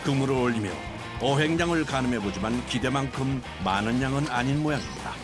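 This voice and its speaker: A man's voice: medium pitch, brisk. A man reading scripted documentary narration in Korean, with music playing behind him. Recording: loud music bed behind voice.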